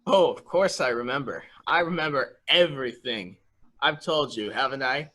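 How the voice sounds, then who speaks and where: Casting: male actor as naive, excitable childlike young man for a mystery radio play, medium register, rambling innocence. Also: medium pitch, moderate pace. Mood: boastful, eager. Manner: guileless, rambling, repetitive. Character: childlike, simple, excitable young man fearing being locked up. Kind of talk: dramatic reading of murder mystery.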